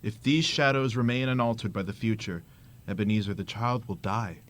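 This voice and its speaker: A man's voice: fairly low pitch, moderate pace, natural voice. A man playing an aged, solemn spirit in a radio drama, making grave, foreboding pronouncements.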